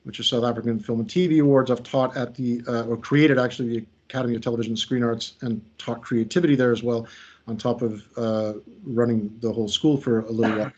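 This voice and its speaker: A man's voice: mid-range voice, steady tone, fast talker, darkish tone. A middle-aged American man leading a webinar as a creativity coach, talking through slides in a loose, joking, conversational way. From United States. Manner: conversational, lightly joking about himself. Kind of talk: online presentation walking through slides. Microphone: video call. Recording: slightly muffled video call audio.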